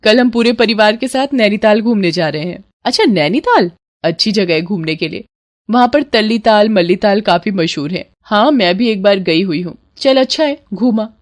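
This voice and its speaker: A woman's voice: mid-range voice, lively intonation, moderate pace, lightly acted. Chatty young married friend played by a woman. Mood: nostalgic, cheerful. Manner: chatty, nostalgic.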